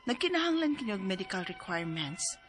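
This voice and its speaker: A woman's voice: mid-range voice, sing-song, fast, faint music behind, natural voice. A woman acting an anxious, fussing middle-aged mother with a Cebuano accent in a radio drama, exclaiming as she goes. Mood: earnest narrating.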